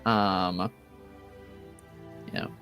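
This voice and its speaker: A man running a tabletop roleplaying game as game master, explaining rules and lore in a hesitant, halting way. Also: deep voice, very animated, gravelly, a bit bright, clean tone. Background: music behind the voice.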